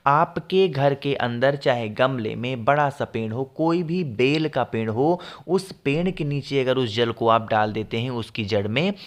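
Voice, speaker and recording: A man with a sore throat who clears it now and then, casually explaining home devotional rituals to viewers and often checking "all right?". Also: a little high. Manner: repeats "ठीक है ना?" to check with viewers. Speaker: devotional remedies video host. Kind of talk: casual spoken instruction to viewers.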